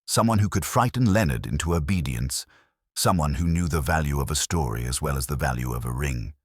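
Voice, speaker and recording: A man narrates a detective story in a very deep voice. His delivery is deliberate and literary, yet the pitch moves in a lively way. The tone has some huskiness. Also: breathy edge, no echo, clean studio sound. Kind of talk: scripted audio mystery narration.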